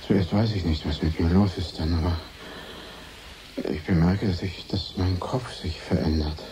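A man acts a tormented painter in a 1980s radio play. His voice is deep and halting, anguished and haunted, over clear background noise. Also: steady tone, dark tone, lightly acted, poor audio. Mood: haunted, dread. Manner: halting, anguished, broken phrases. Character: tormented, haunted male painter plagued by nightmares. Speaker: radio drama actor. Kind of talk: 1980s German radio drama acting.

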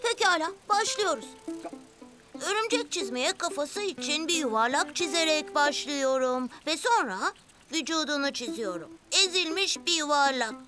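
A woman's voice: high-pitched, lively intonation, moderate pace. A woman voicing a friendly, helpful young rabbit boy who explains encouragingly in a children's cartoon dub. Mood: instructive. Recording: music behind the voice.

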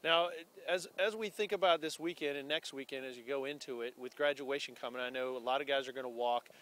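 Male interviewer, conversational and rambling, bright tone, recorded outdoors on a phone. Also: medium pitch, fairly quick. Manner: conversational, rambling questions with restarts. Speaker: postgame interviewer. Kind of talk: postgame interview. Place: outdoors beside a baseball field.

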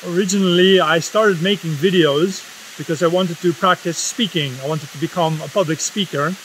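A man's voice: fairly high pitch, steady tone, moderate pace, a bit bright, slight background noise, poor audio. A man in his fifties from the Netherlands, with Dutch as his first language, giving a solemn, impassioned spoken essay outdoors in a forest. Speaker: video essay host. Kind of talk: earnest spoken essay to camera.

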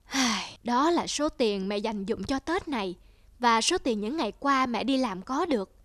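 A woman playing a poor, self-sacrificing elderly mother in an animated moral tale, patient, plaintive and weary. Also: fairly high pitch, normal speed, dry recording, studio quality, close to an everyday voice. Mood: tired, gentle.

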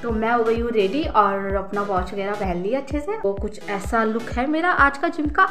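A woman's voice: on the high side, moderate pace, fairly bright tone, soft background music. A woman, chatting casually to her phone held at arm's length for a vlog. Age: 20s. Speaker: vlogger. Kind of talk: casual vlog chat to camera.

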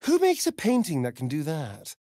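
A man's voice: fairly high pitch, huge pitch swings, moderate pace, mellow, no room sound, studio quality. A male actor voicing a sly, vain vampire rogue in a fantasy video game, with an arch, flamboyant, sardonic drawl. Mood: wry, curious.

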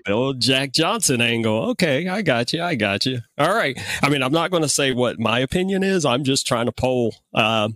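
A man's voice: medium pitch, animated, moderate pace, hardly any pauses, fairly bright tone, dry recording, studio quality. A man hosting a podcast, chatting casually with a guest in a jokey, easygoing way with frequent chuckles. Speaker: podcast host. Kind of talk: casual interview chat.

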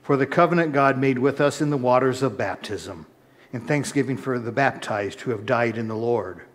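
A middle-aged male pastor intones prayers from the altar of a large church sanctuary. His voice sits at an ordinary pitch, steady and solemn. It is picked up by a far camera and an altar mic. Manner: measured, solemn intoning, rising in the preface. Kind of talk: liturgical prayer and chanted-style preface.

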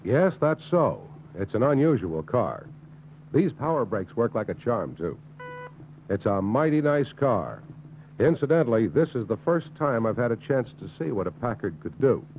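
An actor playing an agreeable middle-aged car buyer in a 1950s industrial film, speaking in an approving, folksy way. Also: male voice, medium pitch, big pitch swings, normal speed. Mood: pleased, approving. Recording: muffled, hissy 1950s film soundtrack.

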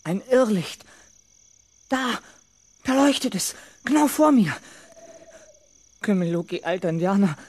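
An actor playing a jittery, imaginative schoolboy who talks to himself in awe and bravado in a 1970s radio play. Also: male voice, high voice, animated, measured pace, pauses often, darkish tone, husky, no echo, exaggerated voice. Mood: frightened. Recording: noisy old radio play recording.

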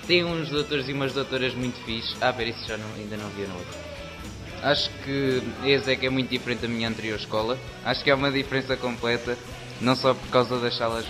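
Young Portuguese man over clear music. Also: medium pitch. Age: young adult. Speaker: student. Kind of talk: spontaneous casual vox pop answer.

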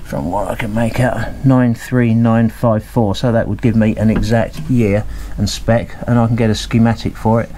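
A man's voice: fairly low pitch, level tone, normal speed, hardly any pauses, mellow, a little hiss or hum. A man, casually narrating as he inspects an old radio in his workshop, thinking aloud with frequent ums and hesitations. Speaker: radio restorer. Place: cluttered home workshop, indoors.

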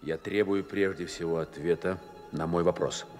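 An actor playing a stern Red Army commander in a radio play, curt and authoritative. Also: male voice, deep voice, fairly even intonation, moderate pace, music in the background, lightly acted. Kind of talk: Soviet radio play acting.

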